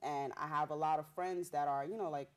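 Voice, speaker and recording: A young woman rapper from Haiti, a Haitian Creole speaker, telling her story in a bubbly, animated way with street slang. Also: low-pitched, a little fast. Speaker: rapper guest. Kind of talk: casual interview.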